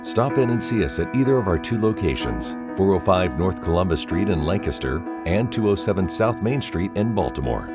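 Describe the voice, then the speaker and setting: Deep-voiced man reading a commercial. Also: sing-song, a little fast, hardly any pauses, loud music behind the voice. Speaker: funeral home advertisement narrator. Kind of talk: scripted commercial narration.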